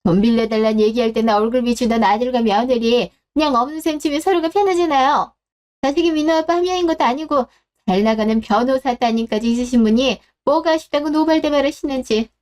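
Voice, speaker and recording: A woman's voice: high-pitched, brisk, a little mellow, natural voice. A woman voicing a brazen, sarcastic daughter-in-law in a confrontational scripted family drama story. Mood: scornful, irritated. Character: brazen, scornful daughter-in-law, sharp-tongued mother.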